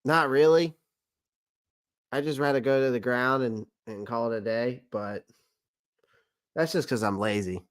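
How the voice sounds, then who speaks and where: A man's voice: medium pitch, a little slow, long pauses. A man in his thirties hosting a casual sports chat, rambling and correcting himself, laughing often. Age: 30s. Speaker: sports podcast host. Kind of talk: casual live-stream chat.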